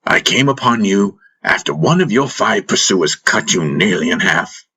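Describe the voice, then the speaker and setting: A man voicing a wise, calm old master in an audiobook, speaking in a measured, gently teasing way. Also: low voice, breathy edge, exaggerated voice. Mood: calm, wry. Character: wise, calm, wry old male master caring for a patient.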